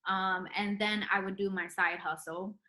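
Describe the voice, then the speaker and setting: A young woman with a bright, forceful voice talks through her story in a relaxed interview. She fills her speech with ums and likes and laughs easily as she goes. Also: medium pitch, a little slow. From Puerto Rican heritage. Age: young adult. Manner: chatty, many ums and likes, laughs often. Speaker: interview guest, retired nurse. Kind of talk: casual interview chat.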